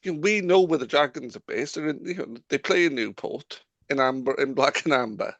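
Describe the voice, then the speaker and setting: A man co-hosting a rugby podcast, arguing with animated rhetorical questions. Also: mid-range voice, fairly quick, no echo. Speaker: podcast co-host. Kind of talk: casual rugby chat.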